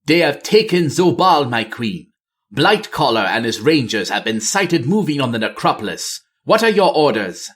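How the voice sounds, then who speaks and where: A man's voice: medium pitch, sing-song, moderate pace, a bit bright. A man voicing a dutiful woman aide in a fantasy storybook, reporting urgently and with deference. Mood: urgent, deferential. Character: dutiful female aide reporting to her queen.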